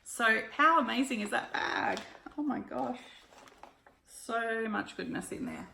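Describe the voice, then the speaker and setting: An Australian woman with a croaky, hoarse voice, chatting casually through a stitching haul with lots of ums and little laughs. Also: a little high, lively intonation, measured pace, sharp tone, reverberant, slight background noise. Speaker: cross-stitch vlogger. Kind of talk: casual show-and-tell recap.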